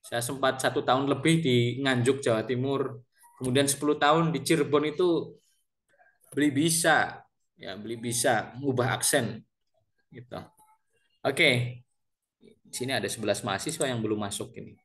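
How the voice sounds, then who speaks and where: A male lecturer in his thirties from Central Java speaks with a strong Banyumasan ngapak accent. He addresses his students politely and casually, repeating words and tagging his phrases. His pitch sits at an average level. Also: normal speed, long pauses, fairly bright tone. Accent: Banyumasan (ngapak) Javanese. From Central Java, Indonesia. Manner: chatty, with frequent fillers and repeated words. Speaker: university lecturer. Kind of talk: introducing himself to an online class.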